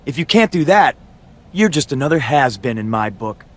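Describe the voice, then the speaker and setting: A man's voice: mid-range voice, sing-song, moderate pace, a little hiss or hum, close to an everyday voice. A man playing a brash, disrespectful younger pro wrestler in a video game, mouthy and scornful. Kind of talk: scripted video game cutscene acting.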